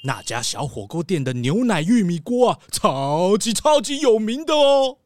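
A man playing a chatty, excitable town mayor in a children's radio drama, exclaiming and stretching words. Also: high voice, slight background noise. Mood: eager. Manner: exclaiming, drawn-out words, gossipy.

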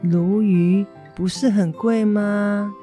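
Woman playing a slow, mellow, gentle Taiwanese mother. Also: mid-range voice, fairly even intonation, faint music behind, close to an everyday voice. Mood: curious, surprised. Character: gentle, apologetic convalescing mother of a teenage boy. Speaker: amateur voice actor, schoolteacher. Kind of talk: educational radio drama dialogue.

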